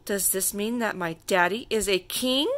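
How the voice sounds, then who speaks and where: A woman's voice: medium pitch, moderate pace, a bit bright, lightly acted. A woman voicing a polite, curious little girl who asks earnest questions in a children's storybook. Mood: puzzled.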